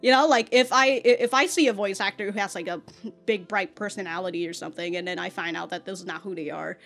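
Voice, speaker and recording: A woman's voice: medium pitch, quick pace, vocal fry. Casual, sweary American woman over music. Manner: animated, sweary, slangy, often laughing mid-thought. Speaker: reaction video host. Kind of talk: casual, rambling anime reaction commentary.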